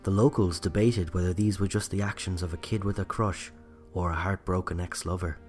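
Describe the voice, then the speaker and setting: A man with an Irish accent narrating a scripted true-crime story in a measured, reflective way. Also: low voice, steady tone, darkish tone, soft background music. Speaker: writer and narrator.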